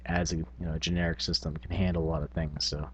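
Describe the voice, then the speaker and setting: A man's voice: extremely low pitch, flat delivery, fast, muffled recording, a little hiss or hum. A man hosting a tabletop gaming vlog, talking casually in a rambling, self-effacing, unscripted way. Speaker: tabletop gaming video host.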